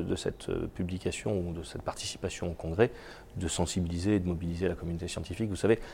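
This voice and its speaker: Cast a low gravelly man with a head cold, an executive giving halting interview answers. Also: dark tone. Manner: halting, many repeated words and fillers. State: has a cold. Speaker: biotech company executive.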